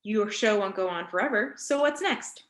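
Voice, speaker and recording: Woman doing a pushy doctor guest grilling someone, deliberate n pointed, play reading over a echoey call. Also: medium pitch, lively intonation, close to an everyday voice. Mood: insistent. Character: probing, insistent woman doctor guest on a talk show. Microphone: video call microphone. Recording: echoey room on video call.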